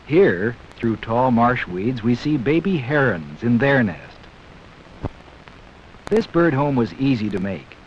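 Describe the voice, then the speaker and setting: A man narrating a scripted 1960s educational film in a measured, explanatory way, speaking directly to young viewers. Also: medium pitch, very animated. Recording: dull, hissy 1960s 16mm film soundtrack.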